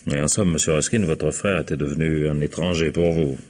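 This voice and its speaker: An actor playing a shrewd, wry police inspector in a French radio mystery, probing with ironic politeness and gruff impatience. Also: male voice, a bass voice, steady tone, brisk, darkish tone. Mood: polite, probing.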